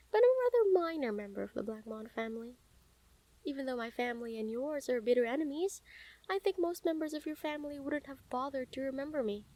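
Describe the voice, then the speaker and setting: A woman playing a calm, menacing yet smitten assassin in an intimate audio roleplay, speaking softly and teasingly with deliberate pauses. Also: high-pitched. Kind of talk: intimate scripted audio roleplay addressed to the listener.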